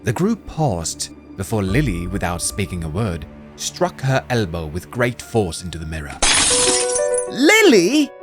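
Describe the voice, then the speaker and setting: Dramatic storybook narrator, man, low register, very animated delivery. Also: moderate pace, hardly any pauses. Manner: dramatic, expressive. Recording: music playing under voice.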